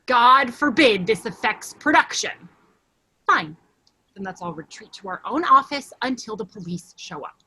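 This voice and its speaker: Sardonic female office worker for the stage, acted by a woman, theatrical, bright and very animated. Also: a little high, a little slow, husky, heavily acted, poor audio. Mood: irritated, sarcastic. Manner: sarcastic, theatrical. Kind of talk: staged play reading.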